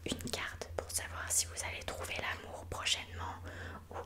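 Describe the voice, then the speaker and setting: A woman playing a mystical female fortune teller in a whispered ASMR roleplay, speaking slowly and soothingly and calling listeners darling. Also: close to an everyday voice.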